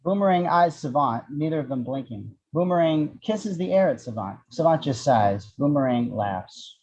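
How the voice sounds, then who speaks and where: A man's voice: fairly high pitch, expressive, normal speed, darkish tone. A man reading screenplay action descriptions with a wry manner, on a dull lo-fi microphone. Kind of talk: scripted screenplay narration.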